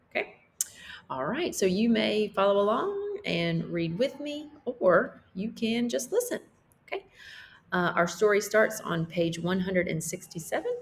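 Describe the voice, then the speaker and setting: Slow-paced woman teacher reading aloud. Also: fairly low pitch, gravelly, a little hiss or hum. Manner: explains simply, checking in with listeners. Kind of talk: storybook read-aloud with simple explanations for children.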